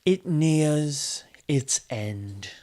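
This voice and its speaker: A man's voice: fairly high pitch, expressive, very slow, dark tone, pitched above the performer's own voice. Guy doing a sneaky young elf lord, smug and teasing, fantasy audiobook villain. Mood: smug, scheming. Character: sly, treacherous young dark-elf nobleman.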